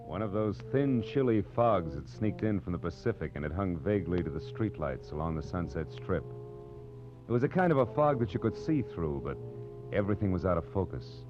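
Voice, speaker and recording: An actor playing a wry, weary hardboiled private detective in a deadpan 1940s radio noir style. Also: male voice, deep voice, brisk. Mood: brooding, wry. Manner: deadpan, world-weary, sardonic. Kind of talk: 1940s radio noir acting and first-person narration. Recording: dull old radio recording with loud music.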